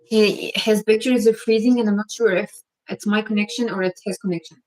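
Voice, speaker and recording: A woman's voice: medium pitch, fairly even intonation, brisk, darkish tone, poor audio. A woman from Egypt hosting a casual live-stream Q&A, chatting and reading viewers' comments aloud with lots of fillers. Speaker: vlog host.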